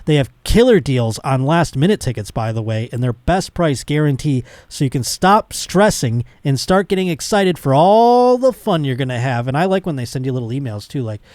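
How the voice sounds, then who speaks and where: A man's voice: mid-range voice, sing-song, moderate pace, hardly any pauses, darkish tone, no room sound. A man in his late thirties hosting a casual comedic podcast, talking in a blunt, slangy way with some swearing. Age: late 30s. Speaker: talk show host.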